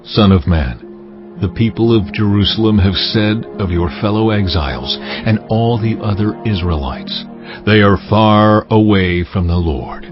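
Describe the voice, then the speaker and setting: A man playing a solemn, commanding God in a dramatized scripture reading, speaking slowly and weightily in declamatory pronouncements. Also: on the low side, lightly acted. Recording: dull audio under loud music.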